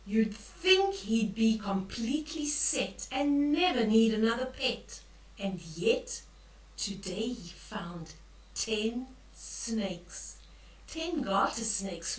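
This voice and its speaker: An older woman reading a bedtime story aloud to young children, expressively, with drawn-out emphasis and questions for the listeners. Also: fairly high pitch, animated. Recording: echoey room, distant from the mic.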